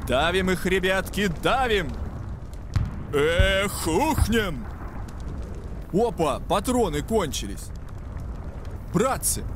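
An actor playing a bold, hearty Cossack soldier in a video game, calling out rousing, folksy battle barks. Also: male voice, sits high, background noise, heavily acted. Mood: rousing, fierce, then urgent.

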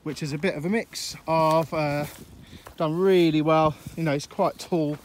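A man's voice: on the high side, a little slow, a little hiss or hum. Rambling man touring his allotment. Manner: relaxed and rambling, with frequent uhs. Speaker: allotment gardener giving a tour. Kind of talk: casual walkthrough narration. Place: outdoor allotment and polytunnel. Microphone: handheld phone camera, filming while walking.

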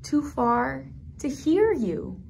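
Slow, high-voiced woman reading to toddlers. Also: very animated, gravelly, slight background noise. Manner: gentle, simple phrasing aimed at small children, with questions. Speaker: children's librarian, storytime host. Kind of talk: toddler storytime, read-aloud with commentary. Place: small indoor room at a library.

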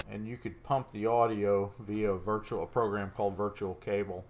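Low, gravelly man. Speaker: shortwave radio hobbyist presenting a demonstration. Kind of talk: casual unscripted demonstration.

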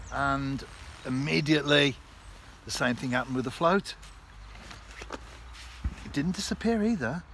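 A man in his fifties giving a casual instructional fishing vlog in a measured, explanatory way, in short phrases. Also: mid-range voice, fairly bright tone. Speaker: angler and vlogger. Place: outdoors on a canal bank. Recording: clear outdoor background noise.

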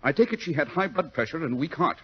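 An actor in an old radio drama playing a shrewd, commanding detective who questions precisely and wryly. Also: male voice, fairly high pitch, expressive, fast, lightly acted. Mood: cool, probing. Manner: precise, incisive, questioning, wry. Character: shrewd, commanding, coolly analytical male detective. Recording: muffled old radio recording.

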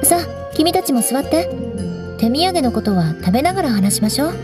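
Haughty, high-voiced young noblewoman knight. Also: very animated, fairly quick, music in the background. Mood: composed. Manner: haughty, composed, cool. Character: proud, prickly young noblewoman knight, vengeful but teasing. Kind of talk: Japanese anime game voice acting.

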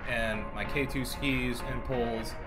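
A man's voice: medium pitch, steady tone, measured pace, loud music behind the voice, rough recording. A man giving a scripted vlog voice-over as the presenter. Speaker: vlogger.

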